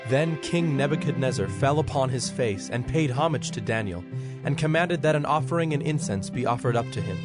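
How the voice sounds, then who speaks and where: A man reads scripture as a narrator, formal and measured. His voice sits at a medium pitch, with music playing clearly behind it. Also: animated. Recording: music bed under the voice.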